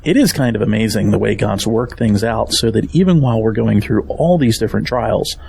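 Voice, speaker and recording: Firm family patriarch, middle-aged, low-creaking voice, upright and fatherly, audio drama with audible background noise. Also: fairly quick. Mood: earnest, encouraging.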